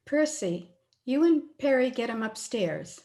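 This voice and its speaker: A woman playing an anxious, gentle grown daughter in a radio play, with a hesitant, worried manner. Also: on the high side, lively intonation, a little slow. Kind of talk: old-time radio play acting.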